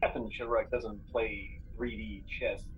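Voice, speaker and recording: A man playing a tabletop roleplaying game, thinking aloud haltingly as he casually speculates and plans. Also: fairly low pitch, steady tone, highs cut off, a little hiss or hum.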